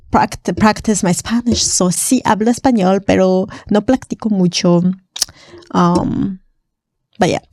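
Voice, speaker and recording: A woman's voice: medium pitch, darkish tone, no echo, clean studio sound. A young woman from Armenia, native in Armenian, chatting casually on camera as a vlogger, laughing often and filling her talk with "like" and "you know".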